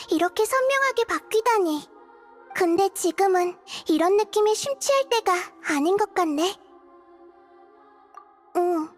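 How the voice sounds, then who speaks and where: A little girl god for a game, super high soft voice, thoughtful n earnest. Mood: wondering, earnest. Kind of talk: video game character dialogue.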